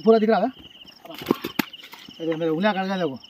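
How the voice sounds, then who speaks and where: A man, chatting casually and excitedly to viewers as an outdoor fishing vlogger. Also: high-pitched, fairly quick, dull audio. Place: outdoors on a lake bank. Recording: outdoor phone recording, faint nature noise.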